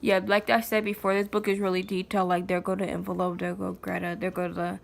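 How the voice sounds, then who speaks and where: A woman's voice: on the low side, little pitch movement, quick pace, creaky. A woman reading a children's picture book aloud in an informal way, with chatty asides to a small child. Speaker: mother reading aloud to a child, vlog host. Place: indoors, sitting on the floor.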